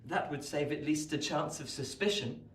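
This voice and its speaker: Man acting an eager young gentleman, distant. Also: a little high, moderate pace, lightly acted. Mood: eager planning. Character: eager, practical young gentleman, loyal friend. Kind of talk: radio play dialogue. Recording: echoey room, distant from mic.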